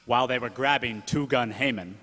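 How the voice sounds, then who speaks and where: A man's voice: mid-range voice, a little fast, natural voice. A man playing a gangster's-tale narrator in the style of old 1930s radio drama. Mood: storytelling. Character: Mordecai, gangster-tale narrator, loyal uncle turned second in command. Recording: echoey hall, long reverb.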